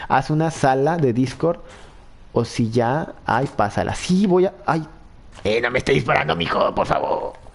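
A man's voice: animated, unhurried, creaky. A young Mexican man with a Mexican Spanish accent, streaming a game live and chatting playfully as he reads comments. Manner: playful, chatty, laughs often, jumps into high squeals. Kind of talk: live-stream gameplay chat reading comments.

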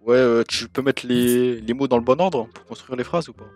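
A man's voice: mid-range voice, normal speed, darkish tone, soft background music. A young man bantering provocatively in an online roleplay game, using slang and repeating himself. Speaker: gaming streamer, player.

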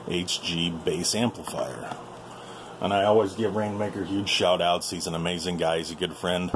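A man's voice: sits low, noisy background. A man giving a casual, folksy tour of his workshop to viewers, recorded on a handheld camera in a small room. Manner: informal, folksy, addressing viewers. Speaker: video host and radio hobbyist. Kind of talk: casual shop tour commentary.

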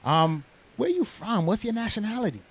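Man, thirties, interview host, slangy, laid-back, slightly high voice, handheld mic, small studio. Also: very animated, moderate pace, husky. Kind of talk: casual interview chat.

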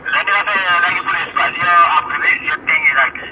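A man's voice: on the high side, brisk. A man with a Malaysian accent chatting casually over two-way radio as an amateur radio operator. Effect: two-way radio transmission. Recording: distant radio audio, loud vehicle noise.

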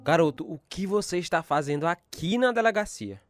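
Man acting a stern, high-pitched commanding father. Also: expressive, fairly quick, no room sound, lightly acted. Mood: firm, indignant. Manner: commanding, curt. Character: stern, gruff middle-aged military father, hard-nosed. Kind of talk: radio drama acting.

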